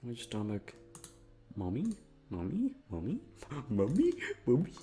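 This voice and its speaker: A young man talks casually at an unhurried pace, his pitch moving around a lot. He is goofy and self-deprecating, swears freely and wanders from thought to thought. Music plays clearly behind him. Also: wildly swooping pitch, mellow. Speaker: audio content creator. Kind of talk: rambling casual chat. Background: background music.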